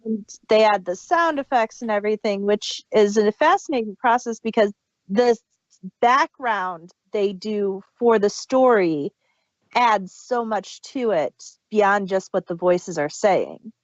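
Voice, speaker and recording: A woman's voice: mid-range voice, unhurried, no room sound, highs cut off. A woman hosting a casual audio drama review, explaining things in detail like an enthusiastic fan. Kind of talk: casual group discussion.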